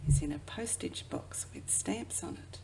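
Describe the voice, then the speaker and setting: A woman who works as a children's educator reads a picture book aloud expressively, drawing out phrases and dropping into hushed asides. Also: fairly low pitch, lively intonation, a little slow, noisy background. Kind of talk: children's storybook read-aloud.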